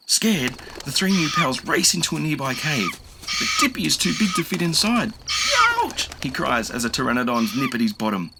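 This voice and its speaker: A man narrating a children's audiobook in an expressive storytelling manner. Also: medium pitch. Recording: clear noise with cricket ambience.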